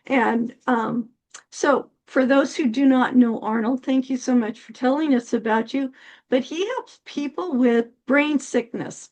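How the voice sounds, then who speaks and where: A woman hosting an interview show, friendly and quick to laugh as she introduces her guest. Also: high-pitched, a little mellow. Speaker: podcast host. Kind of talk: casual interview chat.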